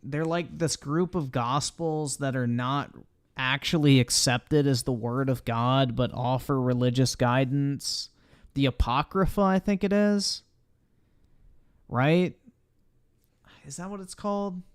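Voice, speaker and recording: A man's voice: mid-range voice, slow, clean tone. A man livestreaming casual reaction commentary in a blunt, profane manner.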